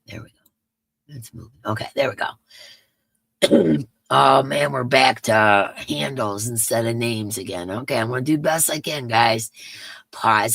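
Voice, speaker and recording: A woman with a raspy voice hosting a casual livestream in her yarn room, greeting chat viewers by name in a sing-song way and sounding emotional. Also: very deep voice, fairly bright tone, no echo. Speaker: livestream host.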